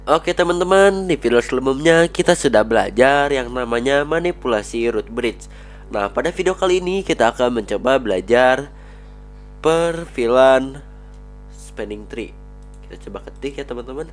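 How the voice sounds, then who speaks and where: A man's voice: mid-range voice, expressive, normal speed, soft background music. Man with a cold teaching network setup. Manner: addresses viewers as friends, talks while typing commands. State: has a cold. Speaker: networking instructor. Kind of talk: screen-recorded tutorial.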